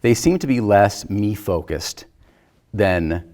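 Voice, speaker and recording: A man in his fifties talking casually about a film as a guest, in a halting way with repeated, restarted phrases. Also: lowish voice, lively intonation, warm tone. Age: 50s.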